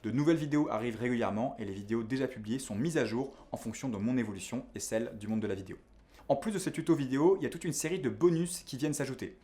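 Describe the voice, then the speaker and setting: Fast-talking man pitching an online course. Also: a little mellow. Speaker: video course instructor and founder. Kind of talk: scripted promotional narration to camera.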